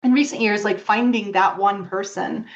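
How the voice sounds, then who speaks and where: Casting: middle-aged woman, mid-pitched, candid and reflective conversational guest, slightly lo-fi recording. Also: moderate pace, crowd noise. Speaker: interview guest, fan fiction author. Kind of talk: casual podcast conversation.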